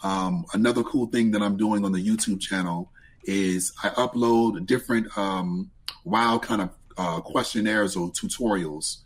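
Raspy low-voiced man telling stories. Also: a little slow. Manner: relaxed storytelling, lively pitch jumps when excited. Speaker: guest, streamer. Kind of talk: casual interview chat.

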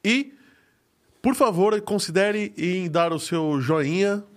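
Joking Brazilian man, higher-pitched voice. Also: lively intonation, slow. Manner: informal, joking, slangy. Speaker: podcast host. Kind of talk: casual chat.

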